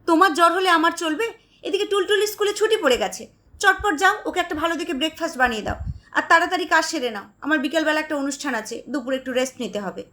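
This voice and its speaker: A woman voicing a self-important housewife in a dramatized story, giving orders in a brisk, imperious manner. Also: high voice, bright, forceful tone, voice pushed up. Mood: impatient, bossy. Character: bossy, self-important middle-class housewife employer and mother.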